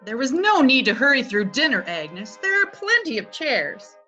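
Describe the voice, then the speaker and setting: A woman's voice: sits high, very animated, normal speed, pushed and bright, dull audio, faint music behind, heavily acted. A woman playing a vain, proud, wealthy society dowager of seventy, haughty and imperious, reading in a readers theatre play.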